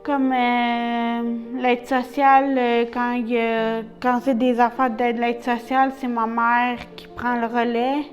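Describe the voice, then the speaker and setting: A woman with a Québécois accent, an adult literacy learner giving a personal testimony, halting often with fillers and pauses. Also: on the high side, level tone, lo-fi sound, soft background music. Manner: hesitant, many pauses and fillers.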